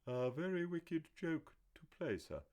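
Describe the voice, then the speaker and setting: A man's voice: on the high side, big pitch swings, measured pace, darkish tone, pitched above the performer's own voice. A man voicing a stiff, proper older butler who speaks with formal, deferential politeness.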